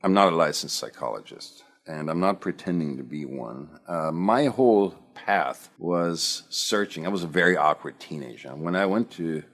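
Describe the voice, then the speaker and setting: Very deep-voiced man in his fifties. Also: animated, normal speed, hardly any pauses, a bit bright. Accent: slight German. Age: 50s. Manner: reflective, earnest storytelling. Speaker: self-help speaker. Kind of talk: personal monologue to camera.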